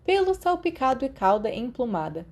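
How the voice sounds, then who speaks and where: A woman voicing an enthusiastic male professor in his thirties, addressing a class in a booming, encouraging way in an audiobook. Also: very animated, moderate pace, a little mellow, exaggerated voice. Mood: enthusiastic teaching. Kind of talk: audiobook character dialogue.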